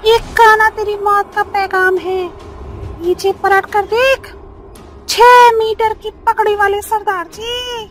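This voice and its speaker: A woman voicing a menacing, gleeful sea witch in a horror cartoon, taunting in drawn-out singsong threats. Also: extremely high pitch, little pitch movement, slight background noise. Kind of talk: Hindi horror cartoon voice acting.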